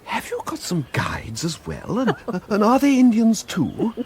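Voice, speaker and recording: An actor playing a timid, fussy gentleman in a radio comedy, stammering and hesitant but polite. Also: sits high, wildly swooping pitch, unhurried, a little hiss or hum, heavily acted. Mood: nervous, awed. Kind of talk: British radio comedy drama acting.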